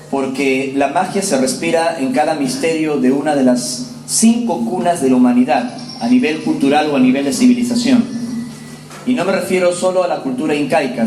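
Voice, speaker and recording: A man in his forties from Peru giving a public lecture, telling his story to the audience in an earnest, measured way. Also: a little high, darkish tone, far from the mic, a little hiss or hum. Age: 40s. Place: lecture hall. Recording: roomy hall with faint ambience.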